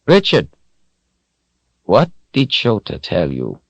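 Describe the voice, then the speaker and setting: A man voicing a calm, gentle old wizard who speaks softly and evenly, often close to a whisper. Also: fairly low pitch, creaky, dry recording, dull audio, voice pushed up, exaggerated voice. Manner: soft, measured, often near a whisper.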